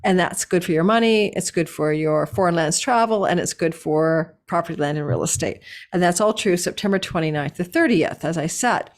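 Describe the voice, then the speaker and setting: A woman with a hoarse, slightly low voice reads horoscopes in a loose, chatty way. She wanders off on tangents and laughs at her own asides, recorded indoors at a house. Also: brisk. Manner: chatty, digressive, laughs at herself. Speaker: astrologer host. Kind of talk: casual horoscope reading.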